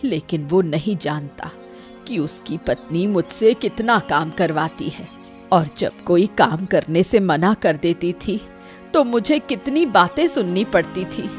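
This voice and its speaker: A woman as a low-voiced, proud old village mother. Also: animated, measured pace, soft background music. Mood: aggrieved. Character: hardworking elderly village mother-in-law, proud, plain-spoken. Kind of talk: animated moral story acting.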